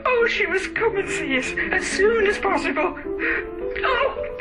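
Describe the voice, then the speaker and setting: A woman's voice: very high-pitched, animated, slow pace, over loud music, exaggerated voice, rough recording. An actress playing an emotional middle-aged mother in a radio drama, tremulous and tearful. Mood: tearful, overwhelmed.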